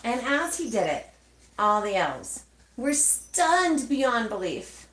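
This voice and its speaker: A woman reading a children's storybook aloud expressively, with measured, dramatic phrasing and pauses. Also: on the high side, very animated, fairly bright tone, a little hiss or hum. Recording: echoey, roomy sound.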